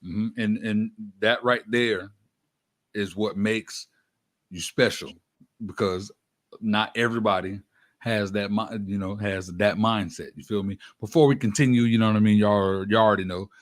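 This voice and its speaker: A man's voice: fairly low pitch, fairly even intonation, normal speed, dry recording, studio quality. A man hosting a hip-hop radio show, chatting casually with slangy, affirming hype.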